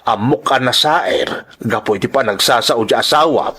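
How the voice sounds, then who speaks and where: A man's voice: mid-range voice, animated, moderate pace, bright, forceful tone, breathy edge. A man with an Ilocano accent acting an aggrieved, protective elderly father in an audio drama, grumbling and emotional. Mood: grumbling, annoyed.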